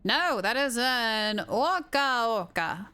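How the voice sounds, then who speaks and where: A woman live streamer giving casual, playful game commentary in a chatty, jokey, excitable way. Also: on the high side, slow. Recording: game music behind.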